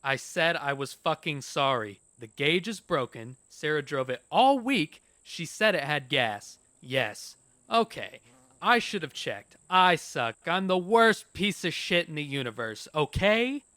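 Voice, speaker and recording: A man's voice: fairly high pitch, very animated, a little slow, bright, forceful tone, no room sound, studio quality, heavily acted. A man playing a defensive, sarcastic, foul-mouthed young rock musician in a horror story, with exasperated, slangy delivery. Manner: profane, exasperated slangy talk. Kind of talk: dramatized horror audiobook dialogue.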